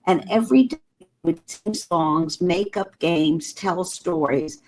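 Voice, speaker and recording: A woman's voice: on the low side, animated, takes it slowly, poor audio. Earnest older woman, German native speaker. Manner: earnest, heartfelt. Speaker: guest. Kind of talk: personal storytelling.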